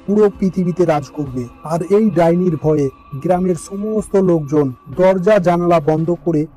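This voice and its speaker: A man's voice: sits high, moderate pace, dark tone, slightly rough, faint music behind. A man narrating a scripted fairy tale as a storyteller.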